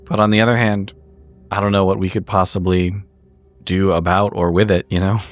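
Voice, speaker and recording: A man's voice: low voice, level tone, brisk. A man playing a tabletop roleplaying game, talking in character in a dry, understated, hesitant way.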